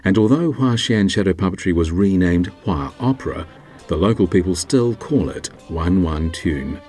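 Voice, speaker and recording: A man reading scripted documentary narration in a measured, formal manner. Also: deep voice, expressive, warm tone, soft background music.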